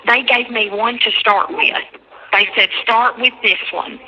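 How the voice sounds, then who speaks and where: Woman, piercing bright tone, medium pitch, halting and repetitive, casual retelling, faintly noisy phone recording. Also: fairly even intonation. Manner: halting, repetitive, quoting others at length. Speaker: jail inmate on a phone call. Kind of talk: casual phone call, recounting a conversation in detail. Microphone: telephone handset.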